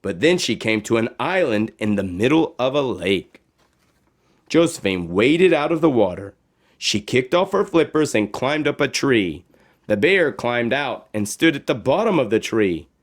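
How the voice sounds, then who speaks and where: A man reading a children's story aloud in a dramatic, expressive narration style. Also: mid-range voice, a little slow. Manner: dramatic, expressive with sound words. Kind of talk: animated read-aloud narration.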